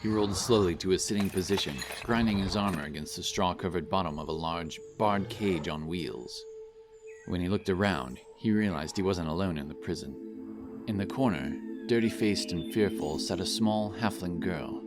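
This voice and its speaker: A man reading a scripted fantasy story as narrator, in a dramatic, solemn and measured style. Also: sits low. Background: music.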